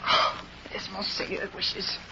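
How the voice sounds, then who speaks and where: An actress playing a fretful, flustered and devoted old housekeeper in an old-time radio drama. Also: female voice, slow, lightly acted. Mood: grumbling. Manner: flustered, deferential, complaining. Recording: dull, noisy old radio recording.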